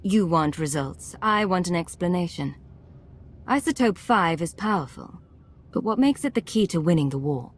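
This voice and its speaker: A woman voicing a resolute female agent who commands a covert team in a video game, speaking with measured authority. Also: fairly low pitch, expressive. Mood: firm, focused. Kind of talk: scripted video game acting.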